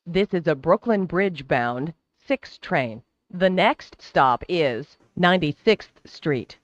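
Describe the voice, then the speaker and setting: A subway announcer reads scripted service announcements slowly and formally. The pitch moves in lively contours while each word is carefully enunciated. The recording is dry and a little dull. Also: no room sound. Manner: formal, measured, clearly enunciated. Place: recorded train public-address announcement.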